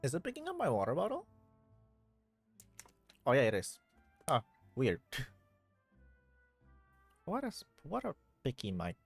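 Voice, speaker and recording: A man's voice: mid-range voice, wildly swooping pitch, a little slow, vocal fry, long pauses, slightly rough, faint music behind. A man livestreaming a video game with casual, wry, grumbling commentary.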